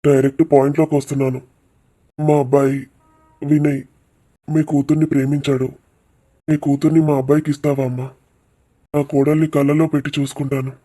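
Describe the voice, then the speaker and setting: A man's voice: fairly high pitch, level tone, a little fast, long pauses, warm tone, lightly acted. A man voicing a genial, firm middle-aged father in a cartoon village drama. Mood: direct, friendly.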